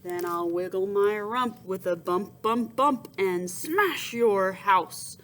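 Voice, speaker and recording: A big, menacing storybook boar, acted out by a woman reading aloud, hungry and raspy. The threats come slowly in a snorting, swinging chant, with some noise behind the voice. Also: mid-range voice, natural voice. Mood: threatening.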